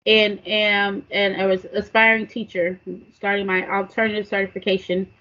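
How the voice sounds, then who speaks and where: A woman's voice: mid-range voice, flat delivery, normal speed, bright, forceful tone. A woman in her late forties from Texas giving a casual self-introduction as a student on a podcast. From San Antonio, Texas, United States. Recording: muffled lo-fi audio.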